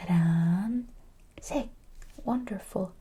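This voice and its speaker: A woman teaching a language in a breathy ASMR whisper, encouraging and repeating words syllable by syllable. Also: mid-range voice, measured pace, warm tone, a little hiss or hum.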